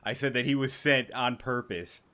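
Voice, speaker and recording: A man's voice: medium pitch, moderate pace, bright, forceful tone. A young man giving casual gameplay commentary, rambling and thinking aloud in slangy, sweary speech. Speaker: gaming YouTuber.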